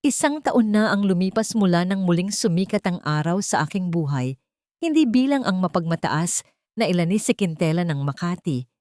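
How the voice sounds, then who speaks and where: A woman narrates a scripted audio drama in a slightly low voice. Her delivery is measured and sentimental, like a storyteller. The sound is dry, with hardly any room in it. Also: animated, a little mellow, no room sound.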